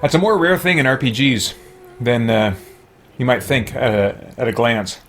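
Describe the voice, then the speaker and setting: A man's voice: medium pitch, normal speed, fairly bright tone, faint music behind. A man talking casually as he streams a video game.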